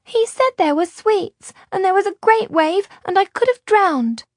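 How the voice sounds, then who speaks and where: A woman's voice: extremely high pitch, animated, a little slow, no echo, clean studio sound, voice pushed up. A woman reading a storybook, voicing a frightened little girl who accuses and complains. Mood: complaining. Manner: accusing, plaintive.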